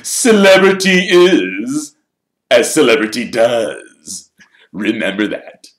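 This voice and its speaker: A man voicing a vain, showy grown wizard who talks in a loud, theatrical, self-admiring way. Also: medium pitch, animated, slow pace, pitched above the performer's own voice. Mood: smug.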